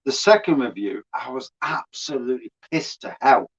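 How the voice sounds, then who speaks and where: A man's voice: medium pitch, expressive, normal speed, breathy edge, lo-fi sound. A man, a beer reviewer, chatting casually as a guest on a stream, swearing freely and laughing as he tells stories.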